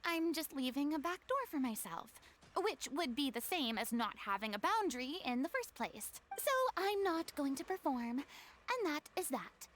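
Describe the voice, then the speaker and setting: A woman's voice: sits high, sing-song, normal speed, fairly bright tone, slight background noise. A woman voicing a proud, weary young former stage star in a video game, with formal, theatrical, self-deprecating phrasing. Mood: subdued, firm.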